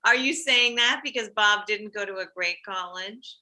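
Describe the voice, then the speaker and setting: A woman's voice: medium pitch, normal speed, bright, forceful tone. A woman playing an anxious, overprotective middle-aged mother with a combative streak, in a comedy script read. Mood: anxious, prying.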